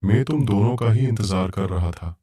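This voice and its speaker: A man voicing a cursed king turned into a talking tiger in a cartoon story, speaking in a calm, solemn way as he explains things. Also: on the low side, normal speed, natural voice.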